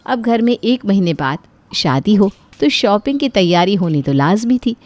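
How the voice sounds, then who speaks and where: A woman's voice: mid-range voice, very animated, moderate pace, a little mellow. A woman giving scripted storytelling narration for a cartoon.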